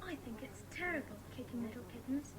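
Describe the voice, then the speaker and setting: A woman's voice: on the high side, animated, fast talker, lightly acted. A woman playing a caring, outspoken British schoolgirl in a dramatised children's audiobook. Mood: indignant, protective.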